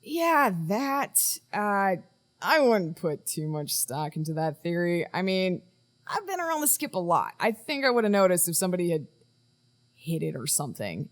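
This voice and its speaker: An actress playing a guarded woman in charge of a team in a naturalistic audio drama, brisk and firm with hesitant trailing asides. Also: fairly low pitch, big pitch swings. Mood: brisk, dismissive.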